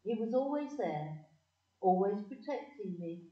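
A woman's voice: medium pitch, expressive, a little slow, natural voice. A woman playing an older narrator fondly looking back, in a scripted audio play. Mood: nostalgic. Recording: echoey, muffled, distant microphone.

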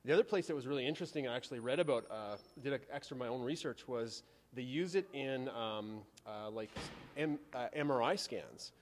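A man's voice: mid-range voice, brisk, slight background noise. A man in his thirties explaining science informally and emphatically, like an enthusiastic teacher in an interview. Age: 30s. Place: large school science classroom. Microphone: handheld reporter microphone. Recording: long echo of a large room.

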